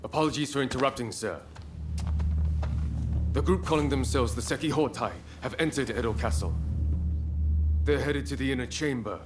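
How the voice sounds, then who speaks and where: An actor playing a dutiful military messenger in a video game cutscene, reporting formally and urgently to a superior. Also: male voice, mid-range voice, moderate pace, pauses often, natural voice. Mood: urgent, respectful. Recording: music behind the voice.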